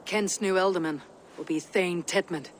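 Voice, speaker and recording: A woman's voice: on the low side, steady tone, moderate pace, slight background noise, lightly acted. An actress playing a blunt, wary Viking warrior woman in a video game, terse and dry in manner. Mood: steely. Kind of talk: dramatic video game acting.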